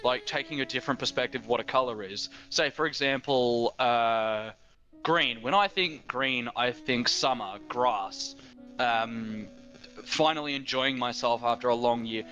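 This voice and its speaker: Bright-voiced Australian teen guy. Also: mid-range voice, unhurried, lo-fi sound, faint music behind. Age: teens. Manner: rambling, many fillers and restarts. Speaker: guest. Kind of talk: casual chat.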